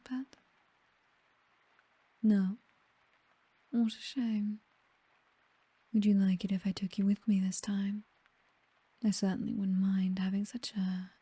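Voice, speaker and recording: A woman plays an aristocratic British vampire mistress, seductive, condescending and possessive. Her voice is extremely mellow and breathy, close to a whisper, and she takes her time with every phrase. It is an intimate, dry ASMR roleplay delivery with a languid, teasing air. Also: medium pitch, dry recording.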